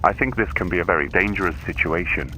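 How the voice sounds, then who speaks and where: Deep, sharp-voiced earnest storm spotter acted by a man. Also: quick pace, a little hiss or hum, poor audio. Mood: concerned, earnest. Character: earnest male storm spotter phoning in a tornado. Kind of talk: acted phone report in a fan disaster scenario.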